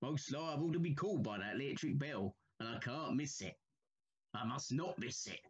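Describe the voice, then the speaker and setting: A man's voice: mid-range voice, animated, moderate pace, husky, dull audio. A male actor playing a guarded, solitary Victorian signalman in an audio drama, speaking in a terse, subdued, haunted way.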